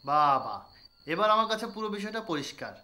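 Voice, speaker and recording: Village doctor, male actor, bright higher voice; patient, reassuring, explains in simple steps for an educational film. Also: sing-song, fairly quick, full character voice. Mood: serious, probing. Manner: explains simply, repeats phrases. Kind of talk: acted educational film drama.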